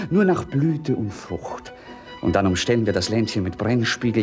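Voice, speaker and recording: A man's voice: medium pitch, close to an everyday voice. Whimsical young prince played by a man, music behind. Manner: lyrical, playful, theatrical. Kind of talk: 1950s German radio play acting. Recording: 1950s radio play recording with music.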